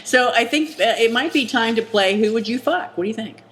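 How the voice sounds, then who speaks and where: A woman's voice: on the high side, fairly quick, fairly bright tone, slight background noise. A woman co-hosting a podcast in casual banter, playful and salty-tongued. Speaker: podcast co-host.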